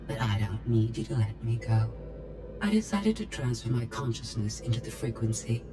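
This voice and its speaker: An actress playing a rogue female droid mastermind in a video game, deliberate, clinical and gloating, with a synthetic robotic tone. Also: extremely low pitch, very animated. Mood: smug, gloating. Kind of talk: video game villain acting. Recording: game music under the voice.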